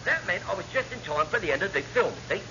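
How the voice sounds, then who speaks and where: An actor playing a wily chief petty officer in a vintage radio comedy, spinning dubious tales with glib mock innocence. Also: male voice, sits high, very animated, fast. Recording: dull, noisy old radio recording.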